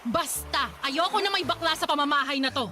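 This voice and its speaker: Babaeng gumaganap na galit na nanay, napakatinis. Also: female voice, high voice, brisk, lightly acted. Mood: threatening. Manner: scolding, sharp, exasperated. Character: angry, shaming, domineering middle-aged mother. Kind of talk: radio drama acting.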